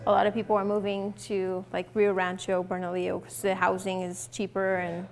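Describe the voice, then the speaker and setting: A woman's voice: medium pitch, completely flat delivery, brisk. A young woman talking in a tentative, conversational way in a casual documentary interview. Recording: faint music behind the voice.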